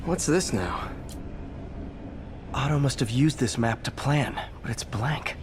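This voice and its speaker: Guy acting young superhero talking to himself, quick-witted, casual, noisy ambience behind him. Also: medium pitch, close to an everyday voice. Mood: puzzled, focused. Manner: conversational, musing to himself. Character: young male superhero detective, earnest, quick-witted, thinking aloud. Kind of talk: naturalistic video game acting.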